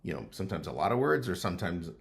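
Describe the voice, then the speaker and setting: A middle-aged man co-hosting a podcast, speaking in a halting way with many pauses and restarts. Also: low voice, very animated, gravelly. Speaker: podcast co-host. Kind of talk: conversational couples podcast.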